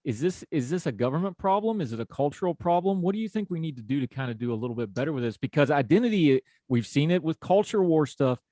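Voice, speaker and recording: A man's voice: fairly high pitch, expressive, quick pace, few pauses, warm tone, no room sound. A man from West Virginia with an Appalachian accent, talking in a folksy, conversational way as a podcast host. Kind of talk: conversational interview.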